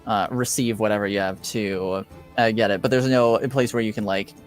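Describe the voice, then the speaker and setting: A man acting as game master for a tabletop roleplaying session, talking casually and haltingly as he thinks aloud. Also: on the low side, steady tone, soft background music.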